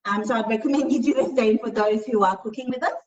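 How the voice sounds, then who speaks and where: A woman's voice: a little high, fast, darkish tone, highs cut off. A young adult woman who grew up in Australia presents a casual cooking demonstration in a home kitchen. She explains each step patiently, with many "um" fillers. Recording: roomy, distant mic.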